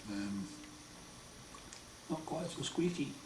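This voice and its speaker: A man with a croaky, hoarse voice after throat surgery, talking slowly and wryly in a casual home video diary. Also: medium pitch, expressive. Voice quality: hoarse, partly breathy. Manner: slow, wry, plain-spoken, often pausing. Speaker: patient sharing his recovery. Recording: roomy echo, far mic, noisy.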